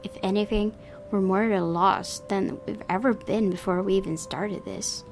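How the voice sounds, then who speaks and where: A woman's voice: mid-range voice, fairly even intonation, normal speed, creaky, faint music behind. A woman hosting a gaming video, reading visual novel narration aloud and commenting on it.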